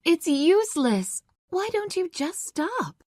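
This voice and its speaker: Woman playing a high-voiced devoted storybook wife. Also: sing-song, takes it slowly, darkish tone, no echo, clean studio sound, exaggerated voice. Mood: exasperated.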